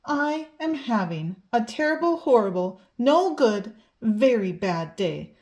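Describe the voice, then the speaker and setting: Woman as a put-upon small boy, storybook, fairly high voice, unhurried, grumpy, emphatic complaints. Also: big pitch swings, a little mellow. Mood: sulky, indignant.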